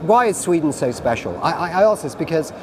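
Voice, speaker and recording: A man's voice: on the high side, very animated, fairly quick. British man, panel moderator, chatty rambling questions, noisy video call audio. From Britain. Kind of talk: online panel discussion.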